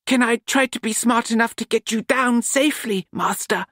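Male narrator as eager servant lad, high pitch, deferential, earnest, cheerful, storybook character, dry recording. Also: moderate pace, bright, forceful tone, no room sound. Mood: meek, polite.

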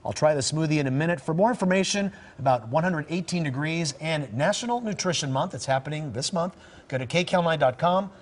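A man's voice: a little high, brisk, a little hiss or hum. A middle-aged man anchoring a TV news segment in a studio, polished and agreeable as he prompts his guest, on a lapel mic.

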